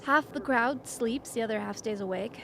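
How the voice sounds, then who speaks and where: An actress playing a worried, wary member of an isolated research crew in a radio play, brooding and deliberate. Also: female voice, a little high. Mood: uneasy, suspicious. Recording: clear background noise.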